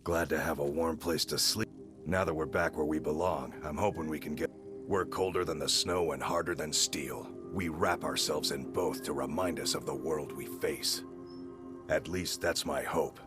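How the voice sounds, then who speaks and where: An actor playing a gruff, hardened Nordic warrior in a video game, stern and measured. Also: male voice, rumbling low, steady tone. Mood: resolute. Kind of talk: video game character dialogue. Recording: music behind the voice.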